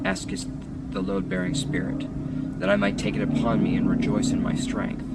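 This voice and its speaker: A man giving a solemn, measured literary reading in a declamatory, rhetorical manner, as an audiobook narrator. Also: deep voice, fairly even intonation, very noisy. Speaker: audiobook reader. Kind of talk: solemn literary reading of archaic philosophical text. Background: music and sound design behind the voice.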